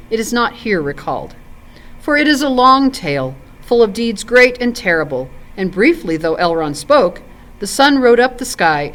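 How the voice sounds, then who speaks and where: Woman, volunteer story reader, animated intonation, measured literary narration. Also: medium pitch, poor audio.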